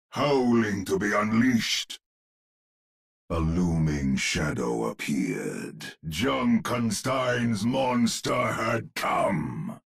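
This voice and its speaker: An actor playing a grave old storyteller, narrating a gothic horror tale for a video game in a husky, gravelly voice with theatrical, ominous phrasing. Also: male voice, low-pitched, exaggerated voice. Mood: urgent, dramatic.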